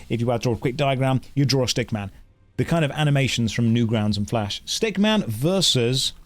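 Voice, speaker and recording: Wry British man streaming, jokey. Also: mid-range voice. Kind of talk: casual livestream chat running chat polls.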